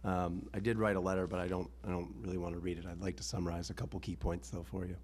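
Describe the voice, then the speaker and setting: A man with a rough voice, an assistant head of school speaking into a microphone at a public hearing, explaining his case earnestly with the odd um. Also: sits low, fast talker. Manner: earnest, steady explanation with filler ums. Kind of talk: public meeting testimony. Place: town board hearing room. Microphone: meeting microphone.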